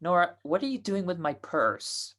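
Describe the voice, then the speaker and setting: Woman acting a possessive sweetheart, low voice. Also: big pitch swings, moderate pace, fairly bright tone. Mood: sweet, wary. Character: possessive young female sweetheart. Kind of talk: 1940s radio mystery acting.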